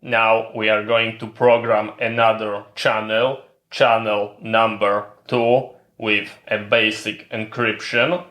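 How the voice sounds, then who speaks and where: Slow, bright-voiced man giving step-by-step instructions. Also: on the low side, little pitch movement, echoey room. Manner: methodical, repetitive phrasing in short separated steps. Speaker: tech channel presenter giving a tutorial. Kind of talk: step-by-step instructional narration of a hardware demonstration.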